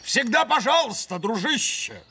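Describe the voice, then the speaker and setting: Old crow maestro in a kids cartoon, pompous and grand, highish voice that goes all over the place. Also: male voice, big pitch swings, moderate pace, heavy background noise. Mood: gracious. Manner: grand, self-assured, theatrical. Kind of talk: animated children's cartoon dialogue. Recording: loud music behind the voice.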